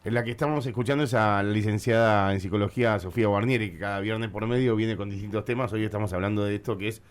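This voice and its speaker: Argentine man, radio host. Fairly low voice, conversational interviewing, repeated words and quick affirmations. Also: steady tone, smooth. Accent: Argentine Spanish. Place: radio studio.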